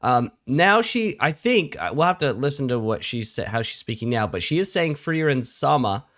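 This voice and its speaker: A man co-hosting a casual show of reactions to anime, his voice raspy and hoarse as he recovers from losing it, chatty and full of likes and ums. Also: medium pitch, very animated, normal speed, a bit bright. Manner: chatty, says like and um often. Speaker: co-host.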